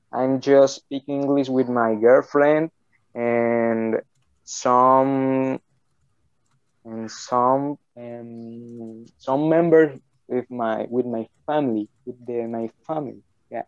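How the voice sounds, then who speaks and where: A slow, hesitant man from Colombia speaks English as a second language, restarting his sentences and apologizing for his words. His voice is smooth and clear. The sound is dry and a little dull. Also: medium pitch, a little mellow, no echo. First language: Spanish. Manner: halting, many restarts and apologies. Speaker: English student. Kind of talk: interview.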